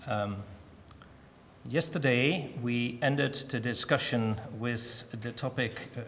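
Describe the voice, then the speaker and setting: An older man from the Netherlands giving a measured, thoughtful talk at a symposium, with frequent pauses and ums. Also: mid-range voice, a bit bright, a little hiss or hum. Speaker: symposium speaker. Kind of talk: academic conference talk. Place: museum lecture hall. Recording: long hall reverb.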